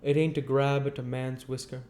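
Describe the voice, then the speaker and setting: A man's voice: mid-range voice, flat delivery, normal speed, darkish tone, pitched above the performer's own voice, close to an everyday voice. A man voicing a kindly, uneducated country man from a novel, earnest and argumentative, with rustic, emphatic phrasing. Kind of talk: audiobook character voice.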